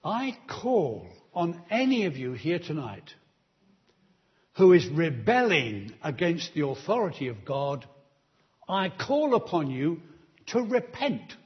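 A man preaching a sermon in a church, delivering slow, emphatic appeals to the congregation. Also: on the high side, very animated, slightly rough, dull audio.

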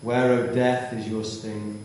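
A man reading scripture aloud in a measured, emphatic way. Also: fairly low pitch, little pitch movement, darkish tone, a little hiss or hum. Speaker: scripture reader. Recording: distant mic in echoey church.

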